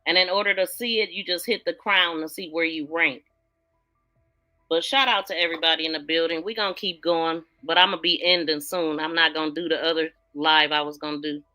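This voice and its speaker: Casting: American woman, informal livestream host, chatty commentary, bright forward voice, faint music underneath. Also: low-pitched, steady tone, brisk. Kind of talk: casual livestream commentary, reacting to played audio.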